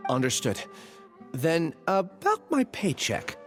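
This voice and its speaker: A troubled young clerk speaks earnestly and haltingly, with a widely swinging pitch. He sounds apologetic and unsure, in video game style, with music clearly behind him. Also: huge pitch swings, a bit bright, full character voice. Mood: apologetic, polite.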